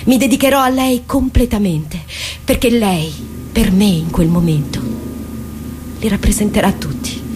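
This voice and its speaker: An actress plays a loyal woman soldier in a radio play, with faint noise and ambience behind her. Her medium-pitched voice is earnest, measured and full of feeling. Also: darkish tone, close to an everyday voice. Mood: moved, devoted. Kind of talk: theatrical radio drama monologue.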